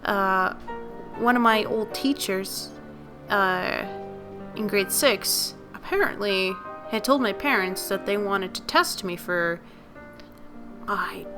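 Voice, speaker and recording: A young woman talks in a loose, rambling vlog style, worn out and raspy from a bad night's sleep. Her voice has a gravelly fry at its middling pitch. Music plays clearly underneath her. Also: sharp tone. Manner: rambling, many fillers and self-corrections. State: tired, voice raspy and lower than usual. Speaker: video creator. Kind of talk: unscripted casual vlog talk over speed-drawing.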